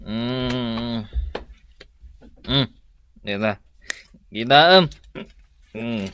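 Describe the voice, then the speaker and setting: A man's voice: mid-range voice, steady tone, drawn-out words, a bit bright, slight background noise. A man acting a stingy, evasive husband who likes his drink, mumbling and whining in a comedy radio drama. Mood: drunk, evasive.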